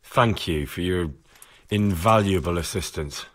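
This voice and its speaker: A man's voice: low-pitched, a little slow, fairly bright tone. A man playing an earnest, persuasive young medieval investigator in a video game, polite, probing and firm. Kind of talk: video game cutscene acting.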